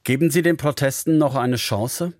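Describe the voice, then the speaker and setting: A man hosting a radio interview, asking measured, formal questions. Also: medium pitch, darkish tone, no echo, clean studio sound. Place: radio studio.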